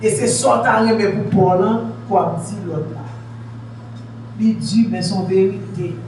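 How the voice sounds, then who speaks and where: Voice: mid-range voice, a little slow, darkish tone. A preacher delivering a sermon to a congregation. The preacher is emphatic, repeats points and asks the congregation questions. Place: church hall in Haiti. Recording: very echoey, distant, noisy church recording.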